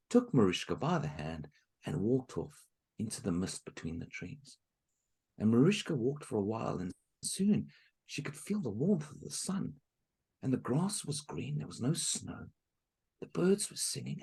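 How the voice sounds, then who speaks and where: Brief: male storyteller, lively sing-song delivery, gravelly and a bit husky, chatty asides. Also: medium pitch. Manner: animated, chatty asides to listeners. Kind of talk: folk tale storytelling.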